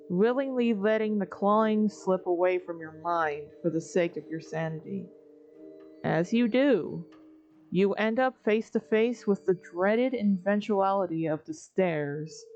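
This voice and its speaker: A woman giving scripted second-person narration of a horror story, measured and theatrical. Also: lowish voice, a little mellow. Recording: dull recording with background music.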